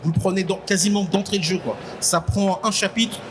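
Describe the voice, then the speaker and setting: A man from Congo, fairly high-voiced, speaks on a live convention stage. He searches for words aloud and often restarts his phrases. Loud crowd ambience fills the background. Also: steady tone. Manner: halting, repeats words while thinking. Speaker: podcast guest speaker. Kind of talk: live panel discussion. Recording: noisy live event ambience.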